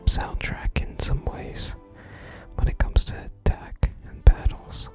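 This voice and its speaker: A person whispering soft, unhurried ASMR commentary while playing a video game and reading out its text. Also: music in the background.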